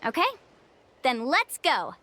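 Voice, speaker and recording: A woman's voice: high-pitched, big pitch swings, slow, noisy background, heavily acted. A woman playing a brave, upbeat young guide in a video game, confident, caring and encouraging toward the party. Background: prominent music.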